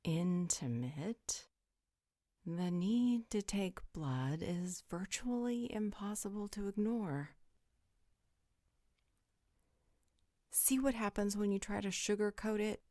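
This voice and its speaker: A woman's voice: mid-range voice, expressive, slow, mellow, no echo, clean studio sound. A woman playing a frank, teasing, worldly supernatural guardian who speaks bluntly in a roleplay audio drama.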